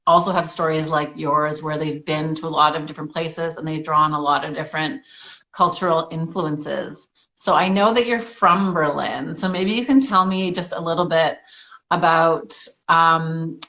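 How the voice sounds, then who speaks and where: A woman's voice: sits low, fairly bright tone, highs cut off. Chatty woman hosting a video call interview. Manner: chatty, friendly, with frequent um and uh fillers. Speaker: interview host. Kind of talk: casual online interview.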